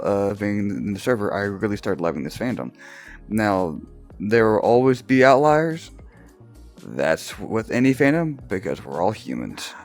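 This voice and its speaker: A young man, just woken up and groggy, casually telling a personal story as a vlogger, laughing often and restarting his words. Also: medium pitch. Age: young adult.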